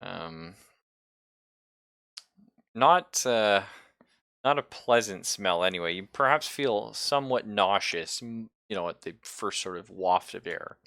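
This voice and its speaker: A man running a tabletop roleplaying game, improvising his descriptions haltingly as he thinks aloud. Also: fairly low pitch, animated, creaky, fairly bright tone, no echo, studio quality. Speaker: game master.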